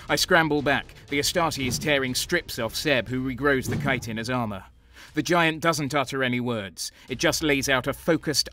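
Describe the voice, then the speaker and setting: A man's voice: mid-range voice, bright, forceful tone, natural voice. Man acting a grim soldier narrator over music. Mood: urgent. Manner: terse, grim, intense. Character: grim, battle-worn male traitor soldier narrating his fight. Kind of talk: dramatic first-person audio drama narration.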